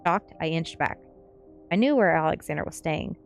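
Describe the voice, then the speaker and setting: Female story reader for an ASMR stream, low register, faint music underneath. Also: expressive, normal speed, gravelly. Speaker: streamer reading a novel aloud.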